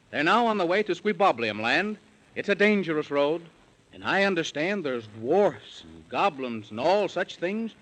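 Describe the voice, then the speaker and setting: Animated high-voiced male radio storyteller. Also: rough recording. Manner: theatrical, sing-song verse delivery. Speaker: narrator. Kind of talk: 1930s radio storytelling in rhyme.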